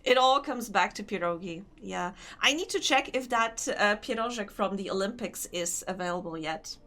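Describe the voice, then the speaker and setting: A woman's voice: medium pitch, lively intonation, normal speed, a bit bright. A young woman with a Polish accent chatting casually with her stream chat while gaming, laughing often and answering comments. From Poland.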